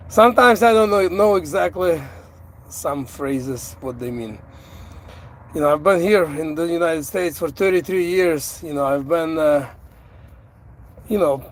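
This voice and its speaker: A middle-aged man from Ukraine, a native Russian speaker with a Russian accent, chatting casually on a live stream in slow, halting speech full of fillers and restarts, recorded on a phone in a vehicle. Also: a little high, slight background noise. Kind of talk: casual live-stream chat, reading out viewer comments. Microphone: phone without an external microphone.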